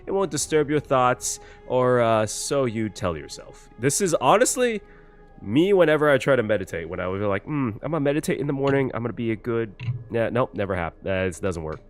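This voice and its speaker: A man doing casual, expressive game commentary as a reaction streamer, swearing casually and exclaiming in dismay. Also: medium pitch, fairly quick. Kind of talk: casual game commentary, reading story text aloud. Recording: game music behind the voice.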